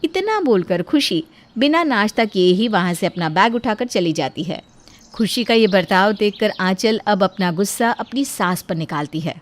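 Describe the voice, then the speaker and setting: A woman's voice: medium pitch, lively intonation, moderate pace. A woman giving scripted narration as a storyteller.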